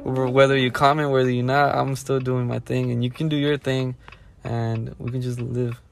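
A man's voice: mid-range voice, little pitch movement, normal speed, gravelly, a little hiss or hum. A young man who spoke Spanish first, a creator guest talking in a laid-back, blunt, slangy way. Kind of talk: casual podcast chat.

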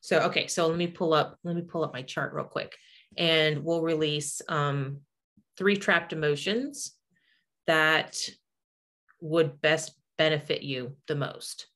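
A woman in her forties with a distinctly low voice speaks in casual conversation. She explains things as a practising energy healer would to someone across from her. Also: steady tone, takes it slowly, fairly bright tone. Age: 40s.

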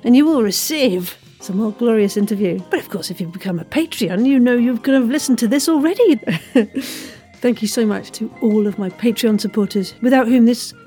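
A woman's voice: on the high side, animated, moderate pace, warm tone, faint music behind. A woman hosting a podcast in a playful, effusive, chatty way. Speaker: podcast host.

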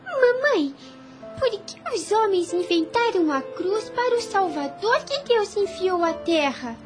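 A woman's voice: very high voice, animated, unhurried, over music. A woman playing an innocent, pious, inquisitive little girl in a radio soap opera. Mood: innocent, curious.

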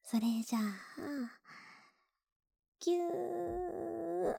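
An actress plays a young woman next door, sleepy and affectionate, coaxing her listener to stay cuddled up. Her high voice is mellow and often drops to a whisper. She speaks slowly and tenderly, very close to the mic, in a soothing ASMR drama style. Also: animated, dry recording, exaggerated voice. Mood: affectionate, drowsy. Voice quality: soft, breathy, often whispered. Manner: slow, tender, coaxing, drawn-out drowsy words. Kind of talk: Japanese ASMR situation voice drama, intimate and soothing. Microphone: very close ASMR microphone, dry sound.

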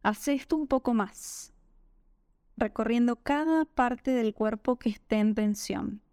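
A woman with a Rioplatense Spanish accent leads a guided meditation at a very slow pace. Her voice is fairly high and very smooth. She gives each instruction gently and calmly. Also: no room sound, clean studio sound. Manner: gentle, calming, unhurried instruction. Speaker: yoga nidra meditation guide.

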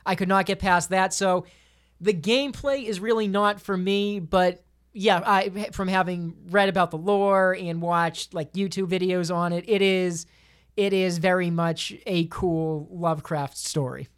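A woman's voice: fairly low pitch, steady tone, a little slow, smooth. A woman on a podcast panel, talking casually and giving her opinions at length.

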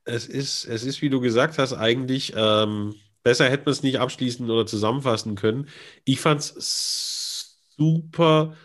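A man co-hosting a podcast in casual chat, speaking haltingly with joking interjections. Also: medium pitch, a bit bright. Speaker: podcast co-host.

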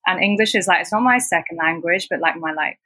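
A young woman from Hong Kong whose first language is Cantonese, talking as a podcast guest. Her voice is bright with a slightly husky edge, and it sounds dry and close. She chats loosely, leaning on "like" as a filler and laughing softly between points. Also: lowish voice, fast talker, no echo. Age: 20s. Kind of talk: casual conversational interview.

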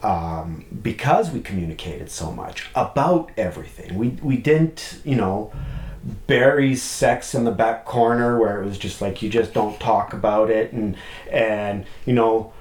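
A man with a fairly low voice talks openly and thoughtfully in a podcast chat. He often restarts his sentences and checks in with a quick "right?". The sound is roomy and echoey. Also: a little mellow. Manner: open, reflective, many restarts and "right?" tags. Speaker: podcast guest. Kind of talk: candid conversational chat.

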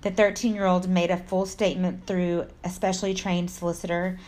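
A woman's voice: lowish voice, flat delivery, a little fast, darkish tone. A woman from Texas with an American accent, co-hosting a podcast, reading a story in an informal way with casual asides and stumbles. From Texas, United States. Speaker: true crime podcast co-host.

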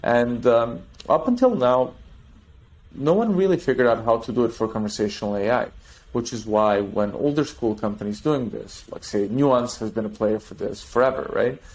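A man talking as a guest on a podcast interview, explaining technology in an animated way with restarts and trailing thoughts. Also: mid-range voice, moderate pace, rough recording. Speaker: tech company guest.

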